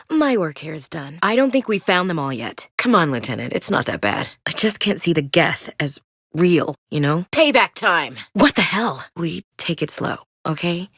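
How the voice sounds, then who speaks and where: A tough marine, low voice. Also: female voice, sing-song, normal speed, fairly bright tone, husky, no room sound, full character voice. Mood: shouting. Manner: blunt, soldierly, dry wit. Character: tough young female marine soldier. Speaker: squad soldier. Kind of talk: video game voice acting.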